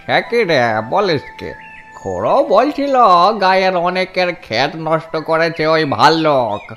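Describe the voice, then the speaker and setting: A man playing a concerned, scheming village friend in a cartoon fairy tale, animated and conspiratorial in manner. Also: on the high side, measured pace, soft background music, exaggerated voice. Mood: shocked.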